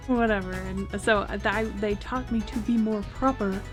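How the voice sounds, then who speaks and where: A woman's voice: medium pitch, a little slow, faint music behind. A woman with a faint Southern US accent, chatting casually in a playful, self-conscious way. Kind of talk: casual chat.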